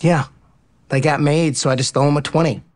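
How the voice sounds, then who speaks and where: A man's voice: a little high, fairly even intonation, a little fast, close to an everyday voice. An actor playing an easygoing, cocky, slightly dim male athlete in a romantic comedy audio drama, casual and nonchalant. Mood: sheepish, unbothered.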